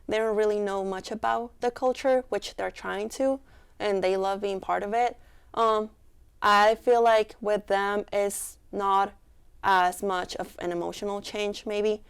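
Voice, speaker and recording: A woman's voice: medium pitch, steady tone, measured pace, gravelly, clear, resonant tone. A young woman from Mexico with a Mexican Spanish accent, earnestly answering interview questions with many fillers. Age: young adult. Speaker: student club member, interviewee.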